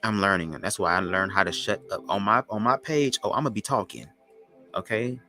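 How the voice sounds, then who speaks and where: A man with a low, raspy voice, hosting a podcast as a loose, slangy spiritual monologue. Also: sharp tone, faint music behind. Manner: loose, slangy, drawn-out talk. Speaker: podcast host.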